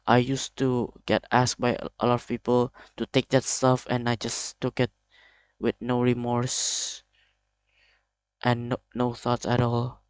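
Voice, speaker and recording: A young man with an Indonesian accent, speaking English as a second language in a hesitant, halting confessional vlog full of restarts. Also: mid-range voice, completely flat delivery, smooth, no echo. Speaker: video creator.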